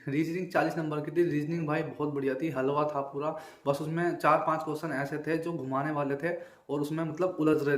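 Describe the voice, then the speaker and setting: A man's voice: fairly high pitch, a little hiss or hum. A young man giving an informal, emphatic exam analysis to viewers, often repeating himself and adding check-in tags. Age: young adult. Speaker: exam-prep video creator and exam candidate. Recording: echoey untreated room.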